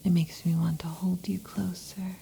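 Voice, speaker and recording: A woman voicing a restless, affectionate female lamia in an ASMR roleplay, whispering softly. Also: fairly low pitch, little pitch movement, moderate pace, vocal fry, a little hiss or hum. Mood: whispery, intimate. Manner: soft intimate whispering. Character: restless affectionate female lamia, snake-tailed monster girl.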